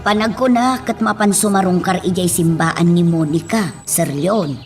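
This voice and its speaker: A woman acting a gently firm, deferential household nanny in a radio drama. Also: lowish voice, moderate pace, faint music behind, lightly acted. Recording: faint background noise.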